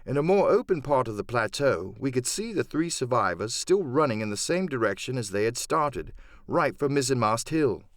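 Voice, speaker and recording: A man's voice: mid-range voice, animated, moderate pace, dry recording, clean studio sound. A man narrating an adventure novel in a dramatic first-person storytelling style. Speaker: audiobook narrator and voice actor.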